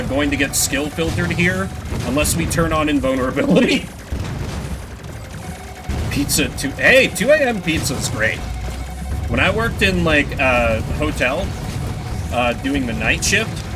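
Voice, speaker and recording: A man streams a video game with a lively, fairly high voice that swings widely in pitch. He rambles to his chat, curses freely and pokes fun at himself. Game music plays clearly behind him. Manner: sweary, self-deprecating, rambling. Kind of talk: casual live gaming commentary with chat. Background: video game music and sound effects.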